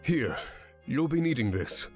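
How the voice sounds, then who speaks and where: A man's voice: medium pitch, very animated, measured pace, pitched above the performer's own voice. Man voicing grim, resolute guide with music behind. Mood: cautioning. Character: grim, resolute male guide advising a monarch to kill. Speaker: visual novel character voice. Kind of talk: acted dialogue read in a let's play. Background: background music.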